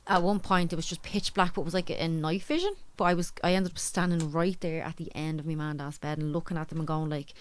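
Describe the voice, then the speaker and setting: A woman's voice: low voice, flat delivery, fast, few pauses, smooth. An Irish woman with a Dublin accent, casually telling personal stories in a rambling, colloquial way. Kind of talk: casual chat, anecdotes.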